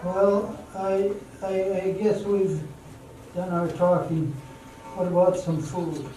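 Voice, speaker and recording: A man's voice: high-pitched, level tone, takes it slowly, dark tone. A man acting as an eager, nervous suitor in an amateur radio drama, earnest and hesitant. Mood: dejected. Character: eager, nervous young suitor courting a woman. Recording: very echoey hall from far off, music.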